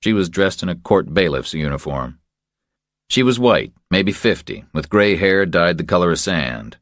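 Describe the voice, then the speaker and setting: A man's voice: low voice, animated, moderate pace, a bit bright, dry recording. A man reading scripted crime fiction as an audiobook narrator, close on the microphone.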